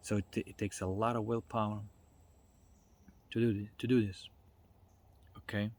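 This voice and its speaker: A man giving an informal explanatory talk in a reflective, halting way, often checking in with small tag questions. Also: on the low side, flat delivery, a little mellow. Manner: reflective, halting delivery with checking tags like "right?" and "you know". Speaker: speaker giving a self-development talk.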